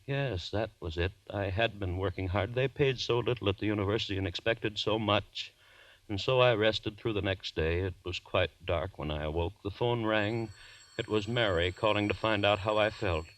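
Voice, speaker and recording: A man's voice: on the low side, normal speed, a bit bright, lightly acted, low-quality recording. An actor playing a tormented, anxious university man in a melodramatic 1940s radio horror drama. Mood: brooding dread. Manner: melodramatic, brittle nervous laughter and stammered repeats. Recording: vintage 1940s radio recording.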